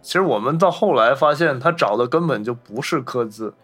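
A man with a medium-pitched voice co-hosts a casual film discussion. He talks in an explanatory, conversational way and readily chimes in with agreement. Music plays behind him. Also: animated, brisk, a bit bright. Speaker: podcast co-host.

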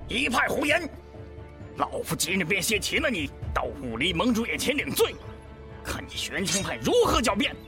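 Old wuxia villain, pompous, indignant, high for a man, wildly swinging pitch, music behind. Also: very animated, moderate pace. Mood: furious. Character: blustering, self-righteous old martial sect elder, villainous. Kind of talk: Chinese wuxia radio drama acting.

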